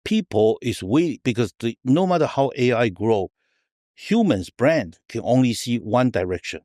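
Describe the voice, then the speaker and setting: A man's voice: mid-range voice, expressive, normal speed, darkish tone, no room sound, studio quality. A middle-aged man from Taiwan, explaining things emphatically as a guest in a conversational podcast interview, often checking that he is followed. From Taiwan, raised partly in Argentina. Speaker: interview guest, tech entrepreneur.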